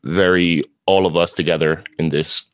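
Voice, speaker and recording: A man's voice: low voice, steady tone, normal speed, no room sound, clean studio sound. A man from New York hosting an interview, chatting in a friendly way, laughing easily and using plenty of fillers. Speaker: podcast host. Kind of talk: casual interview chat.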